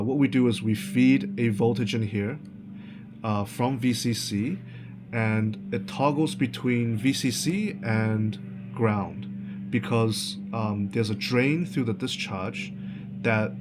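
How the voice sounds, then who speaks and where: A man giving an informal, unscripted technical explanation as a tutorial presenter, halting and thinking aloud as he goes. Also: on the low side, music in the background.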